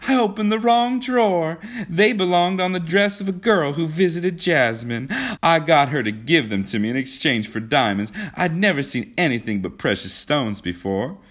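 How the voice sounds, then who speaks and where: A man's voice: medium pitch, lively intonation, a little slow, breathy edge, voice pushed up. A man voicing a naive, innocent, dreamy young rich girl in a storybook reading. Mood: startled, amused.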